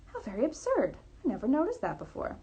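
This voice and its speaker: A woman's voice: high voice, wildly swooping pitch, quick pace, mellow, husky, muffled recording, pitched above the performer's own voice, heavily acted. A woman voicing a polite, earnest young lady in a storybook reading, answering emphatically. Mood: surprised, earnest.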